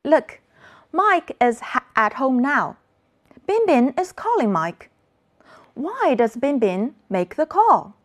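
A woman's voice: high-pitched, sing-song, slow. A woman teaching children an English lesson, modeling words for the class to repeat.

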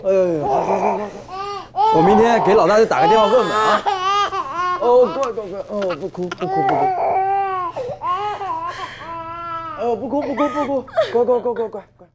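An actress playing a gentle woman who soothes and coos to a crying baby. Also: mid-range voice, fast, a loud crowd, natural voice. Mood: soothing. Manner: soothing, cooing. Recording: loud background noise.

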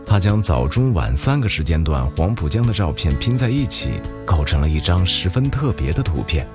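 Male narrator for a news feature, low voice with a lively, rolling pitch, measured reading over background music. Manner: measured, even-paced reading. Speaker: documentary narrator.

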